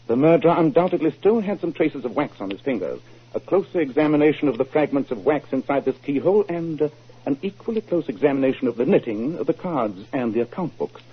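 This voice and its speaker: An actor playing a confident, superior British gentleman detective in a 1940s radio drama, authoritative and dryly condescending. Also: fairly high pitch, slightly rough. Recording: muffled old 1940s radio recording.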